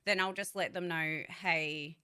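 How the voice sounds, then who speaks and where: A woman's voice: fairly low pitch, fairly even intonation, measured pace, pushed and bright, clean tone. A young woman, a voice actor and streamer, chatting casually and bluntly to her viewers, swearing freely and checking in with chat.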